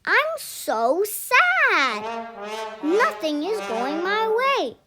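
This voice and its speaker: A lonely, mournful storybook alligator played by a woman, speaking very slowly in a high voice. The sad lines swoop in pitch over music. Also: huge pitch swings, exaggerated voice.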